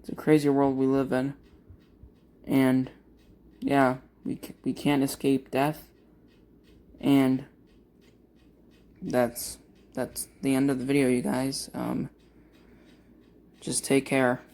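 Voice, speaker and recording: Grieving male vlogger, slow and monotone, halting with many fillers. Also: medium pitch. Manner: monotone, uninteresting voice. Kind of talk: casual talk to camera.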